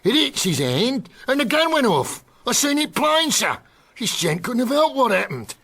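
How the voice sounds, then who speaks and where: A man's voice: very high-pitched, big pitch swings, normal speed, fairly bright tone, breathy edge, full character voice. An actor playing a nervous working-class cat burglar, telling his story hesitantly and colloquially in a period detective drama. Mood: agitated.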